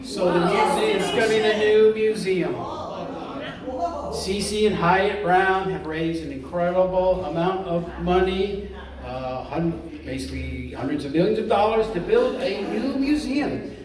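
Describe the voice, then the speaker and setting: A middle-aged man from Florida lectures as a museum curator, joking and chatty with plenty of fillers and restarts. His voice sits fairly high for a man. He sounds far from the mic in a very echoey hall. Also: a little slow, crowd noise. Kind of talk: casual public lecture with jokes. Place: large hall, voice picked up from far off.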